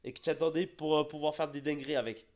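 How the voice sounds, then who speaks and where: A man's voice: fairly high pitch, fairly even intonation, quick pace, darkish tone, highs cut off. A man hosting casual gaming chat, a bit congested from being sick, rambling with fillers and restarts. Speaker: gaming channel host.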